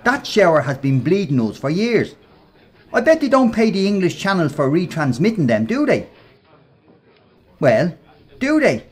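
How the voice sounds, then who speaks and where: A brash Dublin workplace bully in a radio play. His voice sits a little high and swings widely in pitch. He is slangy, cocky and sneering, always needling. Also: big pitch swings, normal speed, pauses often. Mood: indignant bluster. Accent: Irish, Dublin.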